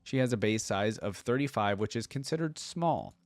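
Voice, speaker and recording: Low-voiced man giving casual reactions. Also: animated, moderate pace, no echo. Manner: informal, thinking aloud. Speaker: reaction video host.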